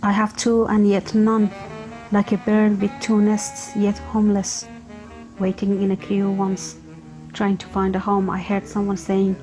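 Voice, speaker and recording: A woman reciting a spoken-word poem in a measured, subdued way. Her delivery stays flat and even, and her tone is warm and relaxed. Soft music plays underneath her voice. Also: medium pitch, rough recording.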